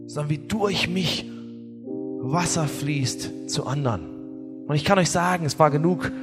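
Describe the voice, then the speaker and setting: A man preaching a sermon as pastor, telling stories with humor in a lively way. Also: a little high, measured pace. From not from Bavaria or Austria. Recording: echoey hall, faint music.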